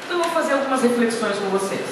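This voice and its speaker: A woman's voice: a little high, expressive, racing. Gaúcha woman lecturing in an echoey hall. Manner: chatty, anecdotal, with self-deprecating asides. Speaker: guest speaker. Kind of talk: informal lecture. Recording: echoey hall, speaker far from the mic, noisy.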